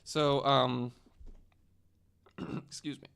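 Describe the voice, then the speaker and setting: Male podcast presenter, mid-pitched, casual, walking co-hosts through researched facts. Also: flat delivery, drawn-out words, gravelly, a bit bright, clean tone. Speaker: podcast panelist presenting the story.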